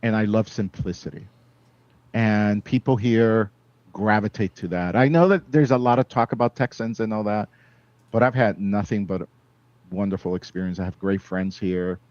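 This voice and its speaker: A man's voice: fairly low pitch, fairly even intonation, moderate pace, no room sound. A man from New York City with a Spanish accent, hosting a casual podcast chat, rambling and laughing as he talks. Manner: rambling, warm storytelling with frequent laughs and restarts. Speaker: podcast host. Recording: dull lo-fi dry sound.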